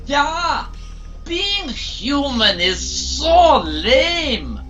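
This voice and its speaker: A woman's voice: sits high, very animated, extremely slow, a bit bright, faint music behind, exaggerated voice. A woman playing a gleeful, bratty dictator in a robot body with a put-on German accent, in a comic audio drama.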